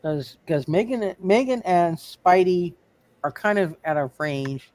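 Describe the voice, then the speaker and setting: A man running a tabletop roleplay game, halting and often repeating his phrases. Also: high-pitched, animated, darkish tone. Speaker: game master.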